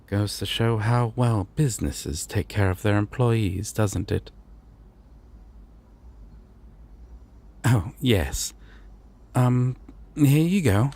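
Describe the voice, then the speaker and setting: A man playing a courteous, formal deity of dreams in an audio roleplay, speaking to the listener with measured, theatrical pauses. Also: on the low side, animated, a little mellow, heavily acted. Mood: polite, apologetic. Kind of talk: immersive roleplay addressed to the listener.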